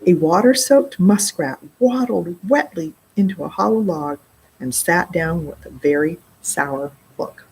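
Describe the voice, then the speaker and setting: A middle-aged woman reads a story to children at an unhurried pace. Her lowish voice swings expressively, and she slips into casual explanations along the way. There is faint room noise behind her. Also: creaky, low-quality recording. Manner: expressive, explaining words and asides to young listeners. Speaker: children's librarian reading aloud. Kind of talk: read-aloud storytime with casual asides. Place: indoor library children's room.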